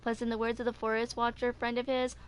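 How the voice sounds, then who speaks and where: A woman's voice: on the high side, flat delivery, quick pace, clear, resonant tone. A woman in her twenties casually reading game character lore aloud, stumbling and correcting herself as a video host. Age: 20s.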